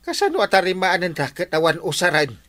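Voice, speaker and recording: An actor with an Ilocano accent playing a hapless, forgetful, henpecked village captain in a radio comedy drama, whining and sputtering. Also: male voice, sits high, sing-song, fast, fairly bright tone, no room sound, studio quality. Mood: exasperated.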